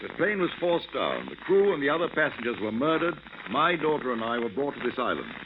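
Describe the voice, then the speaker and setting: Anxious English professor, tinny recording. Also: male voice, medium pitch, sing-song, fairly quick. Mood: urgent pleading. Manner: formal, urgent, dramatic. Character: anxious, formal older English scientist, captive father. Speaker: actor. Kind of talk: old-time radio adventure acting. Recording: tinny, noisy old radio recording.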